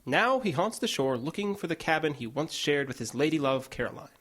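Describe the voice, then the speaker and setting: A man's voice: a little high, animated, a little fast, fairly bright tone, breathy edge. Man playing earnest teller of ghost legends. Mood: grave. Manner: matter-of-fact, grave. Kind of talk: audio drama acting.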